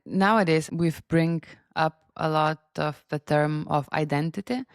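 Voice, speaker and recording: Woman podcast host, deep and smooth, dry close mic, asks calm questions. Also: no echo. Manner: measured, asks open questions. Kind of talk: interview questions.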